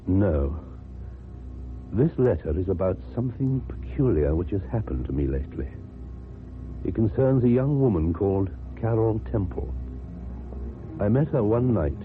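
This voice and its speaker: A man's voice: deep voice, expressive, soft background music. An actor playing a reserved, lonely, well-educated ex-convict with a British accent, kindly but wary and formal in his speech, in a radio drama. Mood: confiding. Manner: formal, measured, precise speech. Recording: muffled, noisy old radio recording.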